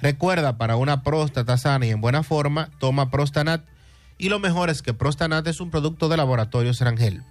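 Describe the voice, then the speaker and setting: A man reading radio advertisements in a measured, clear promotional delivery. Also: on the high side, soft background music. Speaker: ad announcer.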